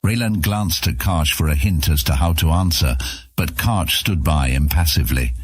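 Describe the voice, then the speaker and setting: Deep husky male narrator. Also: moderate pace, dry recording. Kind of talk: scripted audio drama narration.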